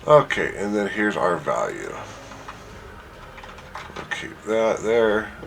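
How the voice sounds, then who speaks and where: A man presenting an informal software tutorial, thinking aloud as he works through each step. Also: deep voice. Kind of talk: casual screen-share walkthrough.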